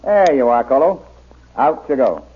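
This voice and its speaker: An actor playing a brave, plain-spoken jungle hero in a radio adventure serial, earnest, courteous and steady. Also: male voice, mid-range voice, measured pace, noisy background. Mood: steady, easygoing. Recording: muffled old radio broadcast recording.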